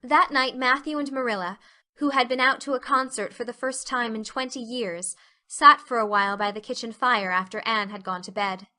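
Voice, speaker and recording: A woman's voice: on the high side, normal speed, sharp tone. A woman reading scripted storybook narration as the narrator of a dramatized novel.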